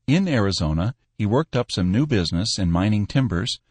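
A man's voice: fairly low pitch, expressive, a little fast, a little mellow, slightly rough, dry recording. Male audiobook narrator, dry studio sound. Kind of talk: scripted story narration.

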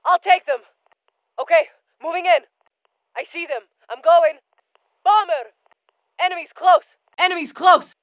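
A tough female special forces operator from a military video game, barking clipped callouts and commands. Her voice sits high and bright for a soldier. The studio sound is completely dry and close. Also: measured pace, pauses often, no room sound, low-quality recording.

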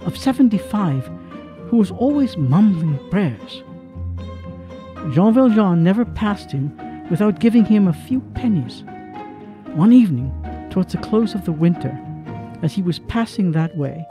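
A man delivers solemn, scripted dramatic narration in a mellow, soft-edged voice. His storytelling is measured, with faint music underneath. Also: high-pitched.

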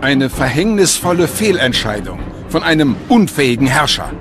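A man's voice: on the high side, sing-song, normal speed, over music. A man playing a haughty, indignant mine director with a formal manner in a historical radio documentary. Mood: bitter contempt. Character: indignant industrial director, haughty, hard-line mine boss.

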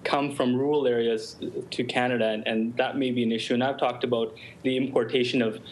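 A man's voice: medium pitch, level tone, a little fast, slight background noise, low-quality recording. A man in his thirties, an immigration lawyer arguing bluntly on a televised panel over a video call. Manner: forceful, blunt, argumentative.

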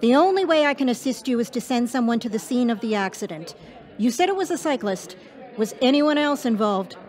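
An actress playing a brisk, procedural emergency call dispatcher in an audio drama. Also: female voice, a little high, lively intonation, a little mellow, some chatter behind, lightly acted. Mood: businesslike. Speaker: 911 operator.

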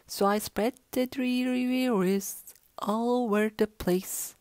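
Woman voicing a mopey, slow-talking gloomy fish. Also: fairly high pitch, steady tone, a little mellow, no echo. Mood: glum, resigned. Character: glum, gloomy male pout fish, mopey and resigned. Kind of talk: children's storybook read-aloud.

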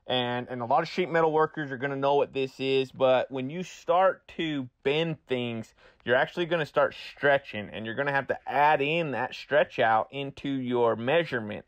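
A man gives a casual how-to narration at an ordinary male pitch. He explains step by step and talks directly to the viewer. The sound is dry, with little room in it. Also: no room sound, dull audio. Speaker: host, welder.

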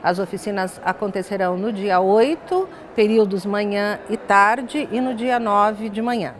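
A woman's voice: mid-range voice, normal speed. An older woman with a Brazilian accent, explaining things as a professor being interviewed, with frequent tag words. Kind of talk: studio interview, explanatory talk. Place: glass-walled indoor lounge set. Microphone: lapel.

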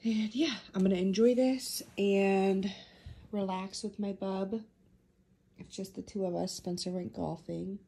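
A woman's voice: mid-range voice, fairly even intonation, a little slow, warm tone. A woman in her twenties with an American accent, chatting casually to viewers as she vlogs at home on a handheld camera. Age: 20s. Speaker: vlogger. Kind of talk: casual vlog chat to viewers. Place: indoors at home, kitchen and living room. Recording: clear household background noise.

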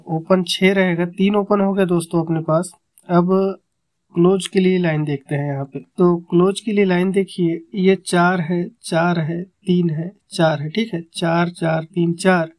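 A man with a fairly high voice explains number-chart calculations in a flat, level tone. He counts aloud step by step and repeats each sum as he goes. He sounds close to the mic. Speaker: number-game tipster.

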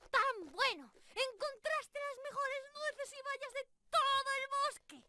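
Very high, cheeky cute squirrel girl. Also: takes it slowly, sharp tone, exaggerated voice. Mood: guilty, dramatic. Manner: playful, genki, pleading and apologetic. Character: cute, cheeky, excitable young squirrel girl, thieving but sweet. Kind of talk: ASMR roleplay, speaking to the listener.

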